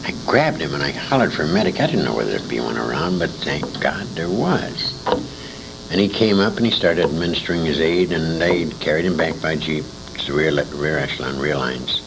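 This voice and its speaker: An older man, a war veteran being interviewed, tells anecdotes in an emphatic, salty, halting way, acting out the dialogue. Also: rumbling low, lively intonation, creaky. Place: indoor interview at a convention. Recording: noisy amateur interview tape with room ambience.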